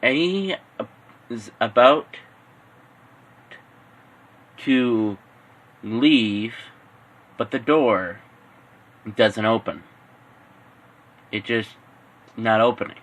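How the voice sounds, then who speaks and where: A man narrating an improvised fan-fiction story in a halting way, repeating and rephrasing phrases as he goes. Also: mid-range voice, a bit bright.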